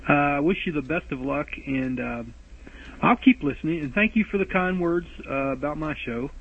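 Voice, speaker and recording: A man's voice: fairly high pitch, moderate pace, breathy edge. A man leaving a rambling voicemail for podcast hosts, talking in circles, restarting, and fretting about his lisp. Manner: rambling, self-interrupting, anxious about a lisp. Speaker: caller leaving a voice message. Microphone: phone voicemail recorder. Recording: tinny phone-line voice message.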